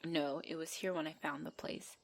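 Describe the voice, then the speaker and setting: A woman's voice: deep voice, fairly even intonation, moderate pace, gravelly, a little hiss or hum, rough recording. A woman voicing a possessive female centaur in an ASMR roleplay, bantering and commanding, with dry exasperation. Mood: softer, reassuring. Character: possessive female centaur, bossy, teasing, half-gentle captor.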